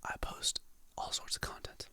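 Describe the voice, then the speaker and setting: A content creator softly whispering motivational quotes and affirmations in a slow, soothing ASMR style. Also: no room sound. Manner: soft whisper, slow and soothing, reads quotes then names the author.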